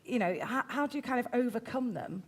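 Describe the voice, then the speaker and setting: A woman's voice: a little high, animated, brisk. A woman with an English Midlands accent, hosting on stage in a chatty, informal, jokey way. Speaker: event host.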